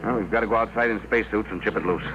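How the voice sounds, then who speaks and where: An actor in a 1950s radio drama playing a practical, authoritative spaceship leader who gives brisk instructions. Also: male voice, lowish voice, lightly acted. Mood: stern. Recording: muffled, very noisy old radio recording.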